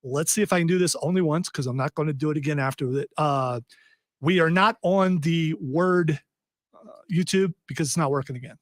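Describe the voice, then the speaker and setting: A joking, rambling man hosts a live talk show from a small studio. His voice sits a little high, and the sound is dry and close to the mic. He sounds relaxed and chatty. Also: fairly quick, no echo. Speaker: podcast host. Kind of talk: casual live show chat.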